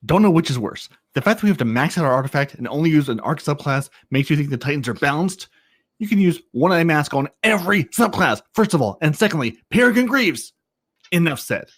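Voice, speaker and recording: Indignant male gamer, acted out by a man, raised pitch, scornful, emphatic, podcast dramatic reading.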